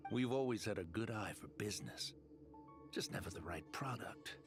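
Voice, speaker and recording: An actor playing a greedy, ruthless desert arms dealer in a video game, with a persuasive, cocky, slangy way of talking. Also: male voice, fairly low pitch, expressive, moderate pace, close to an everyday voice. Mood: slick, persuasive. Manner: persuasive, cocky, casual slangy drawl of a salesman. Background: game music.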